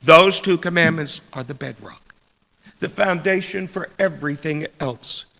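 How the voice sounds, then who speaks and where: A man delivering a scripted church sermon with deliberate, emphatic phrasing and dramatic rhetorical pauses. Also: a little high, creaky, pushed and bright. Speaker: preacher reading a sermon. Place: large indoor church space. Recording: echoey hall with long reverb.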